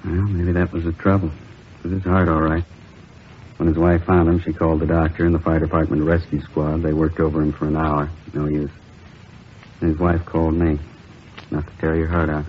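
An actor playing a weary, middle-aged veteran police captain in an old radio drama, terse and subdued but sympathetic. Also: male voice, extremely low pitch, monotone, a little fast. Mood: somber. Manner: terse, subdued, clipped sentences. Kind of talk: 1950s radio acting. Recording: tinny, hissy old radio recording.

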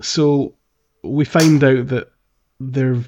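A man's voice: medium pitch, steady tone, drawn-out words, darkish tone, highs cut off, slight background noise. A man hosting a casual gaming discussion in a jokey way, sometimes acting out lines in character. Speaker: podcast host. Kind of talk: casual video game discussion.

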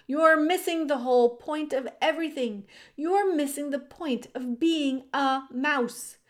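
A woman's voice: medium pitch, expressive, brisk, dark tone, natural voice. A woman voicing a bossy, exasperated young male mouse who scolds and announces insistently in a storybook reading.

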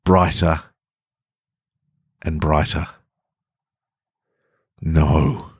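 A man's voice: rumbling low, flat delivery, very slow, breathy edge, no room sound, studio quality. A man hosting a storytelling podcast, moving between chatty introduction and dramatic first-person fiction narration. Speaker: podcast host and fiction writer reading own stories.

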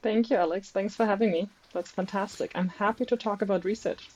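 A woman's voice: mid-range voice, steady tone, a little fast, a little mellow, highs cut off. A woman from Germany with a German accent, a native German speaker, talking casually as a researcher guest in a good-humoured, self-deprecating way. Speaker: podcast guest, researcher and PhD candidate. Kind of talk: casual interview talk.

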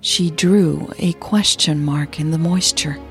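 A woman podcast host delivering a scripted narration in a measured, dramatic storytelling manner. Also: deep voice, fairly even intonation, creaky, faint music behind.

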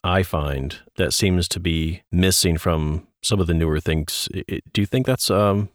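A man's voice: deep voice, moderate pace, dry recording, studio quality. A man co-hosting a podcast, chatting and interviewing in a conversational way.

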